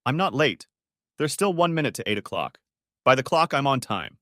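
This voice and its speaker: A joking young husband who protests lightly and keeps things playful, as the narrator voices him. His voice is a bit raised and lively in pitch. The reading is dry and close. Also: normal speed, no echo.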